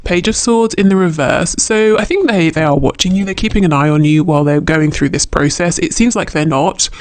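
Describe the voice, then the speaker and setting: A man's voice: fairly high pitch, fairly quick, no echo. A man giving an unscripted tarot reading straight to the listener, thinking aloud in a reflective way and repeating phrases as he goes. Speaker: tarot reader.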